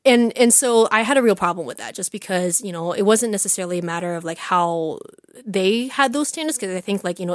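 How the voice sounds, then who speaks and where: A woman who teaches Chinese, telling a personal story in a relaxed, candid way with many "like" and "you know" fillers. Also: medium pitch, no echo, clean studio sound. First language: English. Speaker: Chinese language teacher, podcast guest. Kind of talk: casual conversational storytelling.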